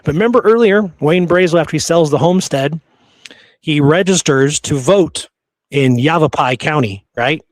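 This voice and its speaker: A man with a fairly high voice hosts a history show in a very dry, close room sound. He works through researched notes as if chatting, slipping in casual, amused asides. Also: normal speed, dry recording. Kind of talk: conversational storytelling from researched notes.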